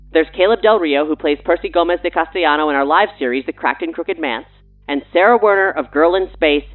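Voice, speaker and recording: Thin radio-band sound, playful pushy woman pitching stuff casually, voice bouncing up and down. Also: phone-quality sound. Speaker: guest showrunner and writer. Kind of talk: casual scripted promo banter on a mock radio show.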